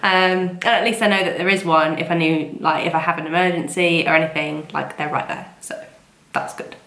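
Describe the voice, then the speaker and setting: A woman's voice: deep voice, fairly even intonation, a little fast, a bit bright. A young woman with a cold, chatting casually to camera in a rambling way, her voice stuffy and nasal. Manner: chatty and rambling, many ums and likes. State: congested with a cold. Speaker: vlogger. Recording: echoey room.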